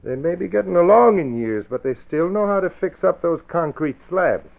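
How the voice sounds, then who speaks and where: Radio gangster boss, male: high voice, cocky, brutal, slangy, bossy. Muffled vintage recording. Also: animated, normal speed, vocal fry. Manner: tough slangy talk, bossy. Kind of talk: old-time radio drama acting.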